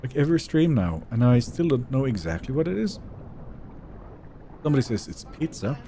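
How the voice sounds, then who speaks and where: A man's voice: mid-range voice, sing-song, a little fast, warm tone, slight background noise. A man with Finnish as his first language, streaming a game live and chatting casually as he reads out viewer messages. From Sweden. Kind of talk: casual live-stream chat while gaming.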